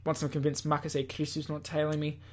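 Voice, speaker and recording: Slightly husky gaming host reading aloud. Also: medium pitch, monotone, quick pace. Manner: stumbles over words, self-correcting, playful. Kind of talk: reading a visual novel aloud with asides.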